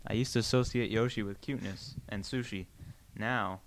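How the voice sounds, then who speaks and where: A man's voice: fairly low pitch, steady tone, moderate pace. A man co-hosting a comedy podcast, bantering wryly in casual improvised chat.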